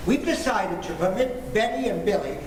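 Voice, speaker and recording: A man's voice: high voice, expressive, moderate pace. An older man playing a scheming, blustery, self-satisfied suburban father in 1940s radio comedy style. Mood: decisive, hearty. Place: indoor stage performance. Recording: echoey stage recording, faint background noise.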